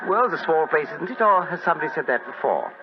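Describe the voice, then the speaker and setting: An actor playing a glib, affable gentleman, breezy and flippant in old radio drama style. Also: on the high side, animated, quick pace, background noise, natural voice. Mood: breezy, suave. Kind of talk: 1940s radio drama acting. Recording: dull old radio broadcast, music behind.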